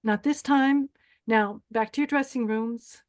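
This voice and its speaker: A woman's voice: on the high side, measured pace, lightly acted. A woman playing a cold, commanding older pageant organizer with a menacing, clipped manner in a screenplay table read. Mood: stern.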